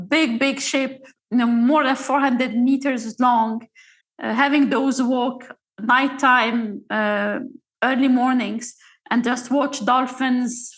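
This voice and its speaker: Woman, halting and warm-paced. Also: fairly high pitch, poor audio. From Algeria. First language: Arabic. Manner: halting, with frequent fillers and restarts. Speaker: podcast guest. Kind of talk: casual storytelling interview.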